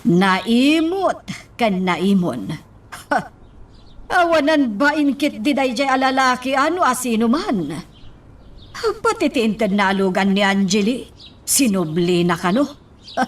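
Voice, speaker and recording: Slow-spoken, expressive protective mother. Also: a little high. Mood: indignant. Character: mother defending her daughter, indignant and protective. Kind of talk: radio drama acting in Ilocano. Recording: slightly distant mic, faint background noise.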